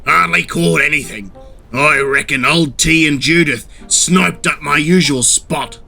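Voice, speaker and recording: A man's voice: on the high side, expressive, moderate pace, creaky, bright, forceful tone, faint music behind, voice pushed up, full character voice. Man acting grumpy, sneering old trawler boat. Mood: grumbling. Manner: grouching, snapping, scornful complaining. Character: grumpy, sour old male fishing trawler, sneering and complaining.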